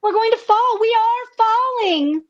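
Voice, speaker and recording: A woman playing an anxious, earnest farm girl in a comedic radio play, speaking in breathless, halting, repeated phrases. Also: very high-pitched, dull audio. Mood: frightened, breathless.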